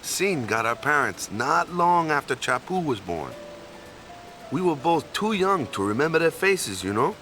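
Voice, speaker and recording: A man's voice: on the high side, very animated, a little slow, bright, forceful tone, soft background music, heavily acted. An actor playing a laid-back, unsure young man in a game, talking easily with trailing "yeah?" tags. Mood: wistful. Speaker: game character.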